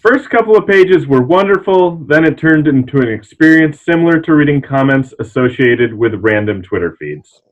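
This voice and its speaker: A man's voice: on the high side, expressive, moderate pace, few pauses, lo-fi sound. A man talking casually as a panelist in a book discussion. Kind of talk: casual chat.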